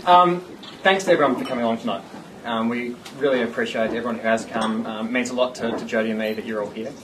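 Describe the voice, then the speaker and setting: A man's voice: medium pitch, fairly even intonation, quick pace, background noise. A man giving a rambling, self-mocking speech at his engagement party. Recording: distant mic with loud party ambience.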